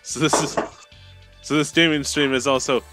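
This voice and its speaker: A man's voice: medium pitch, fairly even intonation, moderate pace, over loud music. A man chatting casually as a game streamer, teasing and swearing freely. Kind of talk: casual chat while livestreaming a game. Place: live game stream, music behind.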